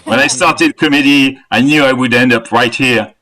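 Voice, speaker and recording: A man doing a stand-up set from his living room, telling deadpan jokes. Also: mid-range voice, moderate pace, rough recording. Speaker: stand-up comedian.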